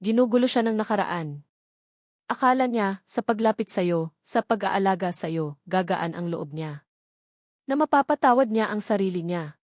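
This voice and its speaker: A woman's voice: medium pitch, expressive, moderate pace, no echo, studio quality, natural voice. A woman voicing a careful, pitying female coworker who delivers painful warnings in an audio drama. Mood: deliberate, accusing. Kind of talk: Tagalog audio drama dialogue.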